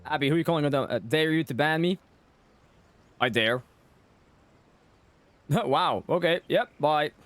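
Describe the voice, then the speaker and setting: A man's voice: fairly high pitch, a little slow, pauses often. A man streaming a game and chatting casually and wryly with viewers in short, informal reactions. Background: game music.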